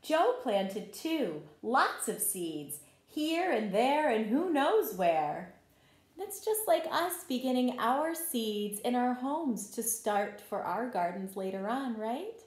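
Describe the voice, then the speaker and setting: Animated, high-pitched woman reading to young children. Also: slow pace. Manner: animated, encouraging, addresses listeners with questions. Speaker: pre-kindergarten teacher reading a picture book to children. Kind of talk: expressive storybook read-aloud with questions to young children. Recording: roomy, echoing room sound.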